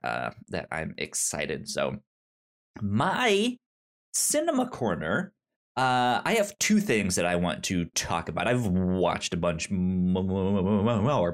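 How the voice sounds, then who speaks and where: A man hosting a casual podcast, speaking in a halting way and often repeating the starts of words. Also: sits low. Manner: halting, repeats word beginnings. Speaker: podcast host.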